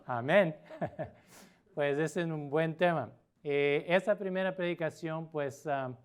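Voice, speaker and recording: A middle-aged man from Portugal, a native Portuguese speaker, preaching a sermon as a pastor with an earnest manner and frequent pauses. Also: fairly high pitch, clean tone. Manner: earnest, with pauses and filler sounds.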